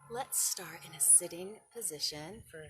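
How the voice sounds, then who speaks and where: A woman's voice: lowish voice, normal speed, noisy background. A woman leading children's yoga and storytime, giving informal, encouraging, step-by-step guidance. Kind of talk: informal instruction and picture-book storytelling for children.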